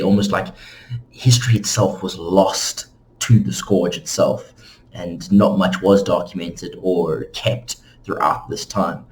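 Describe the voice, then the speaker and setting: A man's voice: sits low, a little mellow, a little hiss or hum, rough recording. A man hosting an informal explainer, talking casually and conversationally to his viewers as he narrates. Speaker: host of a game lore channel.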